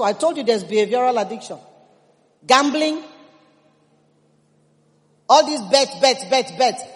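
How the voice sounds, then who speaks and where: A Nigerian woman in her fifties preaching a sermon, emphatic and humorous, with a Nigerian accent. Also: high-pitched. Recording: long reverb in a big hall.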